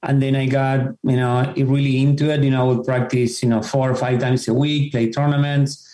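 A man's voice: medium pitch, flat delivery, moderate pace, dark tone. A middle-aged man from Argentina, a Spanish speaker, explaining things casually as a podcast guest. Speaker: podcast guest, tennis professional. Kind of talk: casual explanatory interview talk. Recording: roomy, echoing sound.

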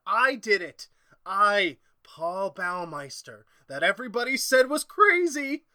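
An actor playing an irascible, egomaniacal chemist in old-style horror radio drama, imperious and melodramatic. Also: male voice, high voice, very animated, measured pace, sharp tone, no echo, clean studio sound, exaggerated voice. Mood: manic, gloating.

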